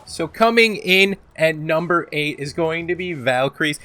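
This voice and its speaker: A man's voice: fairly high pitch, lively intonation, a little slow, sharp tone. A man casually hosting a gaming countdown video, talking in a joking, exaggerating way with some swearing. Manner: belts out game voice lines. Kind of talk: casual top-ten countdown commentary.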